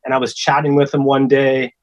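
A man, a guest who lives on a sailboat, telling stories in a relaxed, chatty way. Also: medium pitch, a little fast, highs cut off. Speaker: guest, sailor living on a boat. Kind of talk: casual storytelling.

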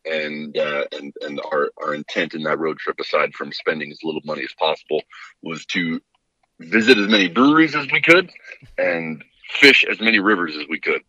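A man with a low, crisp-edged voice tells stories casually as a podcast guest. He is long-winded and wanders through details. The sound is dry and slightly dull, like radio. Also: moderate pace, bright, forceful tone, dry recording. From Hawaii. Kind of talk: casual long-form storytelling.

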